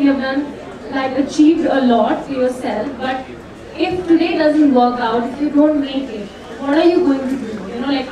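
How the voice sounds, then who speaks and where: A woman with a high voice asks casual questions as a pageant judge. She sounds distant in a heavily echoing hall, with audience noise clearly audible. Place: indoor event hall with audience.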